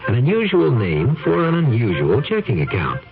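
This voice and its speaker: A man announcing a radio commercial with a brisk, upbeat salesman delivery. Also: medium pitch, huge pitch swings, vocal fry, over music. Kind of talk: radio ad read. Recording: tinny old radio ad.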